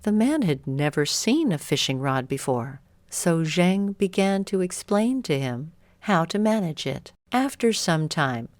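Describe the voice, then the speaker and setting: A woman's voice: on the low side, sing-song, unhurried, few pauses, a little mellow, no echo. A woman reading a scripted bedtime story as narrator.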